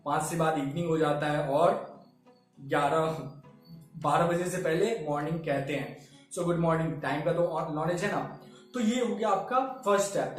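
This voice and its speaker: Man, high voice, very echoey room, classroom teacher, checks understanding. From Bihar, India. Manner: mixes Hindi and English, asks "samajh mein?". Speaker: English teacher. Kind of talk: classroom lesson.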